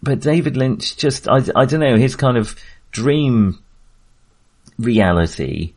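A man's voice: medium pitch, lively intonation, slow, a little mellow, slightly rough. A man talking casually as a film podcast host, in a hesitant, rambling but enthusiastic way.

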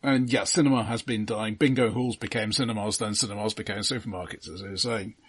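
A man co-hosting a podcast in casual film discussion, often starting haltingly and repeating his words. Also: on the low side, steady tone, husky. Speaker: podcast co-host.

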